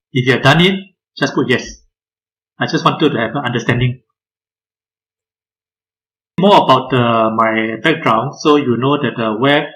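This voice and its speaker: A man, giving a measured webinar presentation over a video call, pausing often on "uh". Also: mid-range voice, a bit bright, poor audio. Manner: measured, frequent "uh" hesitations. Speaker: guest speaker.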